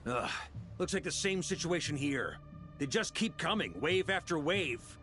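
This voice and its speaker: A hearty, battle-eager knight commander from a video game. His voice is bright and forceful, fairly high, with lively swings as he gives brisk orders. Music plays faintly behind him. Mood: steady, relaxed authority.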